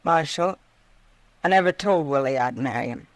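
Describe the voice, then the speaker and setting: A woman's voice: low-pitched, moderate pace, no room sound, lightly acted. An actress playing a pleading young saloon woman in a 1950s radio western, speaking plainly and earnestly with an American accent. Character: pleading young frontier saloon woman caught between suitors.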